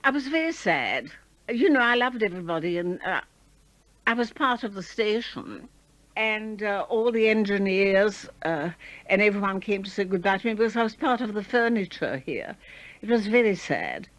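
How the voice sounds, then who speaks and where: An older woman looks back on her radio days in a chatty, rambling way, full of little asides. Her pitch sits in the middle. The sound is dry and close. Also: animated, moderate pace, no room sound. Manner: chatty, warm reminiscing with fillers. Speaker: former radio host interviewed. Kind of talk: reminiscing interview.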